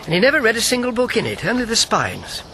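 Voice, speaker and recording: An actor playing a witty older British former wartime codemaker in a radio drama, wry, playful and self-deprecating. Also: male voice, high voice, wildly swooping pitch, fast, bright, forceful tone, heavily acted. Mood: wry, anecdotal. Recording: clear background noise.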